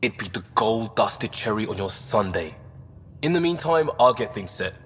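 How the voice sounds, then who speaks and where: A man's voice: on the low side, lively intonation, moderate pace, a bit bright. A man playing an easygoing, joking young spaceship crewmate in casual, wry video game dialogue. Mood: eager. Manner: wry, informal. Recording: reverberant, faint music underneath.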